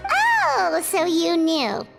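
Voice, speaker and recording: Squeaky childlike sprite, extremely high, eager, blunt, game character, music behind. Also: female voice, wildly swooping pitch, extremely slow, vocal fry, exaggerated voice. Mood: puzzled, sheepish. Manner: squeaky, exclamatory, indignant or eager. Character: tiny floating childlike companion, excitable, blunt, eager. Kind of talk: English video game voice acting.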